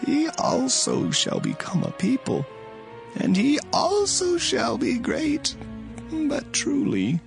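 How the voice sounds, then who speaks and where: Audio scripture drama: grave old dying father, gravelly, drawn-out declamation, music underneath. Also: high voice, heavily acted. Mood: tender, insistent. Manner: slow, halting, solemn declaiming. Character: Israel, aged dying biblical patriarch, solemn, blessing his sons.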